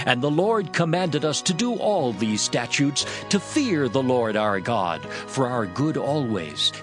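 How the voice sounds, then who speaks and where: A man playing a devout father answering his son, in a solemn, measured recital for a dramatized scripture reading. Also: medium pitch.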